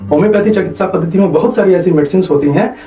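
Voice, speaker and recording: A man's voice: high-pitched, racing, dark tone. A man explains medical matters didactically as an interview guest, repeating points and checking that he is understood. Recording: dull, echoey, distant mic, faint music behind.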